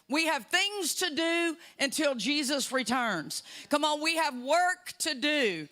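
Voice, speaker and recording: A woman's voice: high-pitched, pushed and bright. A woman from Texas with a Texan accent, preaching as a guest speaker, rousing and calling out to the crowd. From Texas, USA. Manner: rousing, with many "uh" pauses and "come on" calls. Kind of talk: sermon.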